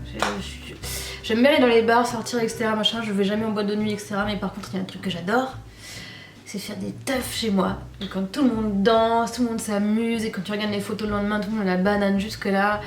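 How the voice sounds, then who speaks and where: A woman's voice: medium pitch, distant mic. Gushing French woman in her thirties. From Normandy, France. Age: 30s. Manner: gushing and repetitive, trails off with fillers. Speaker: beauty vlogger. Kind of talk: casual chat to camera. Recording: echoey room, off-mic.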